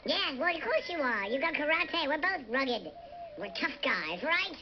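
A man voicing a cute, boastful British chipmunk who chats excitably on a radio phone-in show. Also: very high-pitched, unhurried, heavily acted. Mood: playfully boastful. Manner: chatty, excitable, self-deprecating boasting. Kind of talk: comic radio phone-in character chat. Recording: muffled radio replayed through a device speaker, faint noise.

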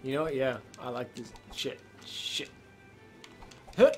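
A man's voice: mid-range voice, animated, slow pace, faint music behind. A man playing a video game and commenting casually, with offhand sweary reactions. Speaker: let's play co-host playing the game. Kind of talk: casual gaming commentary banter.

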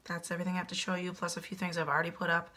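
A woman's voice: on the low side, completely flat delivery, rapid-fire, sharp tone. A woman in her thirties losing her voice, chatting casually through a home decor haul in her kitchen on a webcam. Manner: chatty, self-deprecating, upbeat. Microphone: webcam at desk distance.

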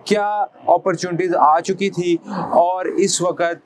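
A man's voice: high voice, flat delivery, measured pace, slight background noise. A man in his thirties with a Pakistani accent presents a promotional real estate video as a consultant, explaining things like a salesman into a lapel mic.

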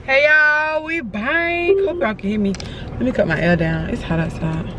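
A hoarse young woman chatting casually to her viewers in a car, slangy and talkative. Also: fairly high pitch, moderate pace. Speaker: vlogger. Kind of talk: casual vlog chat to camera. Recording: muffled phone audio with car noise.

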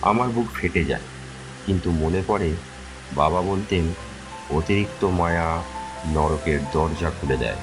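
Deep-voiced man narrating a horror story. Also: unhurried, pauses often, low-quality recording. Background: music.

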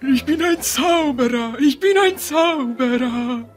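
A woman's voice: high-pitched, expressive, slow, soft background music. A woman playing a boastful, childishly insistent male wizard in a fantasy audio drama.

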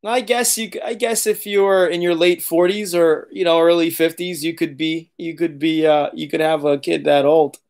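Late-30s male host, loose and chatty, laughs easily, a touch high-pitched; home webcam livestream. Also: fairly even intonation, normal speed, few pauses, a little mellow. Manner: loose, chatty, laughs often, swears casually. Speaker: auto body channel host. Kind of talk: casual livestream Q&A reading chat. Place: living room, seated on leather couch.

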